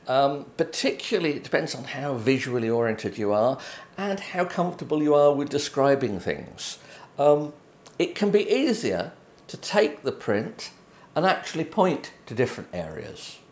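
A middle-aged man with an English accent talks through printing ideas in a loose, unscripted way. His pitch moves around a lot as he explains and points at things. The room around him is noticeably echoey, like a small home office heard through a camera mic. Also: sing-song, normal speed, darkish tone. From England. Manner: conversational, gestures at prints while explaining. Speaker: photography educator. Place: home office with bookshelves, indoors.

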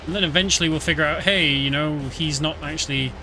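A man talks over his gameplay in a relaxed, chuckling way. His voice sits a little high. Game music plays loudly behind him. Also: fast talker, poor audio. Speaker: streamer. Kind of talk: casual game commentary.

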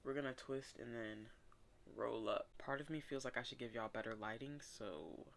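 A woman's voice: a bass voice, normal speed, gravelly. A young woman chatting casually to camera in a small bedroom, rambling with lots of fillers. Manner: rambling, loose, filler-heavy talk. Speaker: vlogger. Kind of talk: casual vlog chat.

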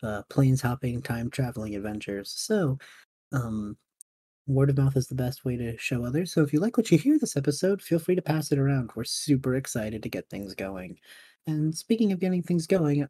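A woman's voice: rumbling low, expressive, normal speed, dark tone. A woman hosting a podcast, giving an informal announcement to listeners. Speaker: podcast host.

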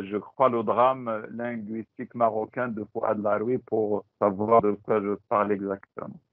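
A Moroccan man whose first language is Tachelhit, giving a halting teaching talk on a video call, repeating words and slipping into Moroccan Arabic. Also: medium pitch, dry recording. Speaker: teacher trainer. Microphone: computer mic on a video call. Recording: dry, phone-like call audio.

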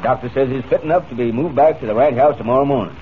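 A man's voice: mid-range voice, fast, close to an everyday voice. Man acting a folksy ranch hand, tinny radio. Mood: cheerful, folksy. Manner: folksy cowboy drawl, rambling. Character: genial folksy old-west ranch hand. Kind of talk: 1940s radio serial acting. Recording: tinny, noisy 1940s radio broadcast.

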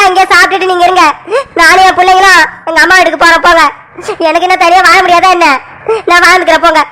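A woman's voice: extremely high pitch, background noise. A woman playing an aggrieved young wife who pleads and reproaches her husband in a family skit.

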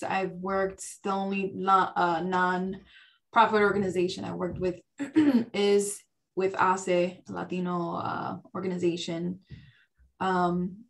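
Casual woman, slightly low voice, self-deprecating, laughs easily, on a webcam. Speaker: nonprofit development staff, panelist. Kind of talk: panel discussion on a video call. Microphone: video call webcam.

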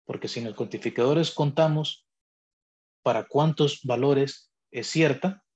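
A man teaching a logic lesson over a screen recording, speaking in a measured, deliberate way and pausing to correct himself as he explains. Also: medium pitch, a little mellow, breathy edge, dull audio. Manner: measured, deliberate, thinks aloud with pauses and self-corrections. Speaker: math teacher. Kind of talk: explaining logic notation on a digital whiteboard.

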